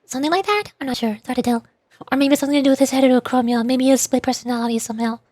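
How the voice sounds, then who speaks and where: A woman's voice: high-pitched, rapid-fire, a little mellow, dry recording, clean studio sound. A woman streaming a video game and chatting casually through gameplay commentary. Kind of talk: casual gaming livestream commentary.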